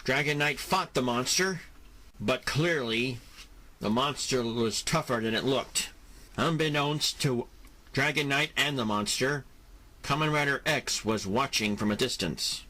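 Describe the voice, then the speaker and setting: Slow-paced male audio drama narrator. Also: mid-range voice, bright, forceful tone. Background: music.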